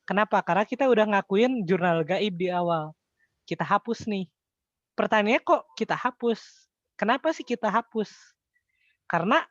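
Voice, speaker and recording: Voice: medium pitch, dry recording, dull audio. A tutor with an Indonesian accent explains things step by step in an informal, colloquial way, often posing questions and answering them. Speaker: accounting tutor.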